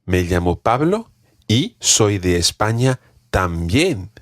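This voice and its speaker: A man teaching on a podcast. His voice sits low but swings widely in pitch as he coaches, and he takes his time, speaking at an unhurried pace. The recording is dry and close, with no room sound. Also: sing-song, no room sound. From Scotland. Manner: patient, slow model pronunciation with repeated drills. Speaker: language teacher. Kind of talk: teaching a podcast language lesson.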